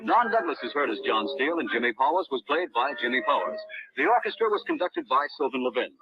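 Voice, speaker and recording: Gravelly, very animated vintage radio announcer. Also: male voice, on the high side, quick pace, crowd noise. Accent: American. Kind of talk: old-time radio announcing, scripted. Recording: muffled old radio broadcast.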